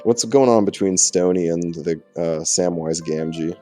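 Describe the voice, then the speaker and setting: A man's voice: sits low, unhurried, warm tone, soft background music. A young man chatting casually and jokingly with a friend as a let's play host, sweary and sardonic.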